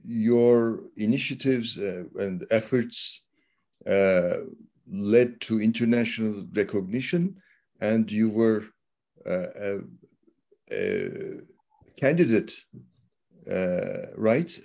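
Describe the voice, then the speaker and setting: A man hosting an interview, talking haltingly and pausing often with fillers. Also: fairly low pitch, expressive, vocal fry, mellow.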